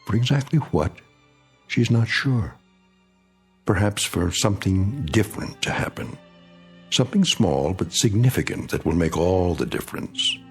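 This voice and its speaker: Deep-voiced, ominous male narrator. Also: animated, slightly rough. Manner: measured, ominous storytelling. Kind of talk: scripted dramatic narration. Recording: radio drama narration with faint music.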